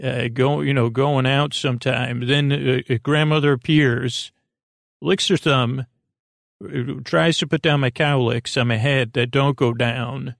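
A man hosting a sleep podcast in a gentle, reassuring, hesitant way, rambling through digressions and half-restarted sentences. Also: medium pitch, fairly even intonation, unhurried, gravelly, no echo, studio quality. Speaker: host of a bedtime sleep podcast. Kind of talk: rambling, meandering monologue to lull listeners to sleep.

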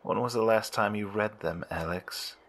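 Zealot in horror audio drama: deep-ish creaky male voice, solemn, wary, formal riddling speech. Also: moderate pace, fairly bright tone. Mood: solemn, superior. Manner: solemn, formal, archaic phrasing.

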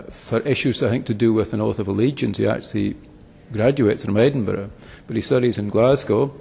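Male academic guest; gravelly, hesitant, self-correcting, recorded in an echoey room. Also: on the low side, mellow. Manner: halting, frequent restarts and self-corrections. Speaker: philosophy lecturer, interview guest. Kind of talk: explanatory academic interview answers. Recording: long reverb, echoey room.

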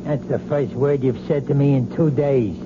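An actor playing George, a bullying, sneering husband in a 1970s radio drama, mocking and menacing. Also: male voice, mid-range voice, fairly even intonation, moderate pace, background noise, lightly acted. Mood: resentful. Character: brutish bullying middle-aged husband George. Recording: dull vintage radio broadcast audio with music.

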